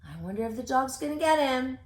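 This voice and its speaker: A woman reading a picture book aloud for preschoolers, expressive and speaking directly to her young listeners. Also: sits high, quick pace. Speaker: children's story reader.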